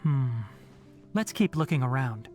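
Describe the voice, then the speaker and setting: A composed young scholar-ranger from a video game, acted by a man. He speaks thoughtfully and precisely with a lively range of pitch. Soft music plays under his measured words. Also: natural voice. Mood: pensive. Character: scholarly young male forest ranger, composed and slightly self-conscious.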